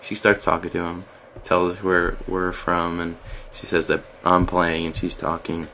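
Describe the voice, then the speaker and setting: A man's voice: sits low, normal speed, a little hiss or hum, low-quality recording. A man with a Boston accent, co-hosting a casual podcast and telling jokey stories. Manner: joking, animated storytelling. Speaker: podcast co-host. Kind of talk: casual chat.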